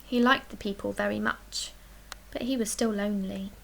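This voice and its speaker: A woman reading a children's picture book aloud as a storyteller, expressively, with dramatic emphasis and hushed, breathy dips. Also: medium pitch, steady tone, moderate pace, a little hiss or hum, low-quality recording. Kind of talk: expressive read-aloud bedtime story narration.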